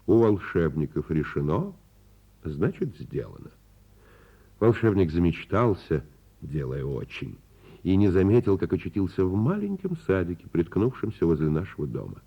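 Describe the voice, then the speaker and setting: An actor playing a gentle, father-like storyteller telling a bedtime tale in a radio play, musing and expressive. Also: sits low, moderate pace, creaky, slightly rough, dull audio, full character voice. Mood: wondering.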